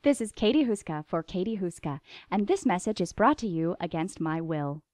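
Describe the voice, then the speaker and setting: A woman reading a scripted comic promo in a dry, deadpan manner. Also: lowish voice, moderate pace. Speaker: announcer of a promo. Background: guitar music.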